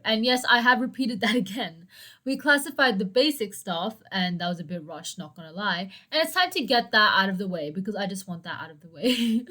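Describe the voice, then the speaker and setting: A young woman with a hoarse, dry voice hosting a solo educational podcast, chatty and prone to self-deprecating asides. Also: on the high side, a bit bright. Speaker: podcast host.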